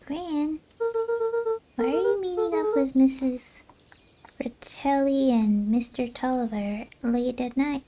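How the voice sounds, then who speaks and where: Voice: sits high, takes it slowly, dark tone. An actor playing an earnest, anxious young boy in a video game. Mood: uneasy. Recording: music under the voice.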